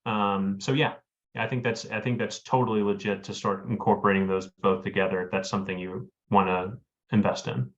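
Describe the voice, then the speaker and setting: A man's voice: on the low side, flat delivery, a little fast. A man from Florida, a webinar panelist, talking casually in a rambling way full of fillers and restarts over a video call. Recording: dull lo-fi audio.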